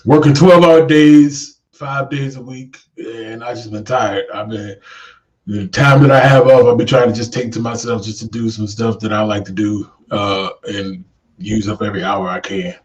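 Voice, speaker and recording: A man's voice: fairly low pitch, steady tone, normal speed, darkish tone, rough recording. A man in his forties co-hosting a casual podcast chat, blunt and teasing with his friend. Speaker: podcast co-host.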